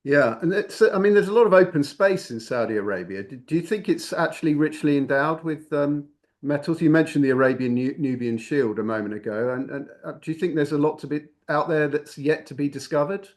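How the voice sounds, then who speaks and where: A man's voice: on the high side, a little fast. Man asking long, winding questions. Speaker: interviewer, host. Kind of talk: conversational interview.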